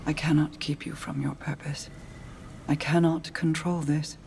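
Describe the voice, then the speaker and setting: Solemn queen, protective sister, formal, measured slow delivery, video game drama. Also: sits low, flat delivery, vocal fry, a little mellow, a little hiss or hum. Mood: grave, reluctant.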